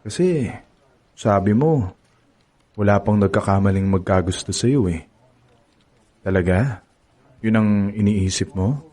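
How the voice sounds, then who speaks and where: A cocky young campus heartthrob. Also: male voice, fairly low pitch, lively intonation, measured pace, pauses often, mellow. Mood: playful. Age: young adult. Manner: cocky, teasing, playful. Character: smug handsome young college heartthrob. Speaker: narrator and lead character. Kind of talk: radio drama acting.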